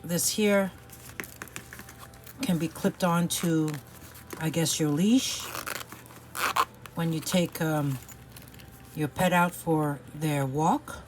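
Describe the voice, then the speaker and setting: New York woman reseller, unhurried, chatty and hesitant, faint background noise. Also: low-pitched, steady tone. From New York City. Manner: chatty, hesitant, many fillers. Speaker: resale seller. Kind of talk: casual product showing vlog.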